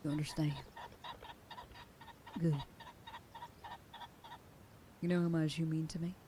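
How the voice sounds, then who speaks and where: A woman playing a protective werewolf sheriff girlfriend in an ASMR roleplay, scolding the listener and dropping into soft whispers. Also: low voice, level tone, takes it slowly, slight background noise. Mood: earnest. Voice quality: soft whisper in quieter passages. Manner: scolding, then softening into tender whispers. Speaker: voice actor in an audio roleplay.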